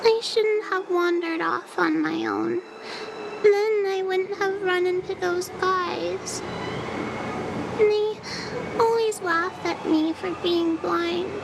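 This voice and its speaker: A woman's voice: extremely high pitch, fairly even intonation, gravelly, background noise, full character voice. A woman voicing a frail, polite, apologetic little blind girl in a video game. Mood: meek, grateful. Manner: slow, soft-spoken, apologetic. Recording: music behind the voice.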